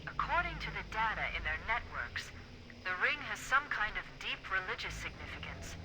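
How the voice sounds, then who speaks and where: An actress voicing a calm, wry female artificial intelligence in a game cutscene. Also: medium pitch, normal speed, noisy background, lightly acted. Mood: analytical.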